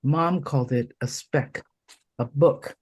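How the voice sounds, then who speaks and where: A woman from Taiwan, hoarse from a cold, reading her poem slowly and deliberately over a video call. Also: very deep voice, darkish tone, dry recording. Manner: slow, deliberate recitation with pauses. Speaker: poet reading her work. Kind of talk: poetry reading.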